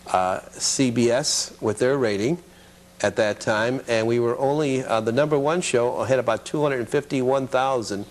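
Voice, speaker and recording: Man reminiscing in a halting way. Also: mid-range voice. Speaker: talk show guest; advertising executive, former children's TV producer. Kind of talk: casual studio interview, reminiscing.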